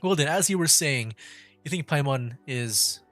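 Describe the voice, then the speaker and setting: A man's voice: a little high, animated, measured pace, a bit bright. Casual male game streamer, music behind. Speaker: game livestreamer. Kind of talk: casual gaming commentary.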